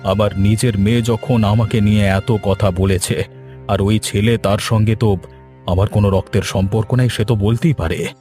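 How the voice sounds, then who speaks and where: A man's voice: on the low side, fairly even intonation, moderate pace, warm tone, soft background music, natural voice. A man voicing an elderly, trusting landowner father in a cartoon. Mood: hurt. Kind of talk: Bengali cartoon dubbing.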